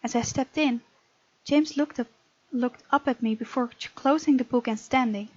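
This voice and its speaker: A woman's voice: high-pitched, normal speed, a little mellow, dry recording, lo-fi sound. A woman from the Netherlands, reading a visual novel aloud as let's play narration with casual asides.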